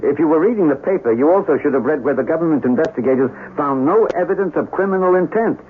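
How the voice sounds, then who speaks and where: A noisy, narrow radio recording carries a man acting a haunted scientist from a radio play. He is defensive and earnest, stammering, with a pitch that swings widely. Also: lively intonation, quick pace, natural voice. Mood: pleading, defensive. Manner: earnest, stammering self-justification. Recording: noisy narrow-band old radio broadcast.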